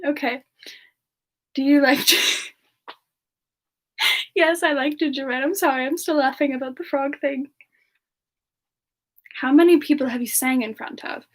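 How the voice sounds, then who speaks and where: A woman voicing a gentle, modest, lovestruck singer with ice powers, chatting softly and giggly in a semi-ASMR livestream Q&A. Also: high voice, unhurried, long pauses. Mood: giggly, amused. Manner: soft, giggly, endearing chatter with pet names. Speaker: character streamer answering chat questions.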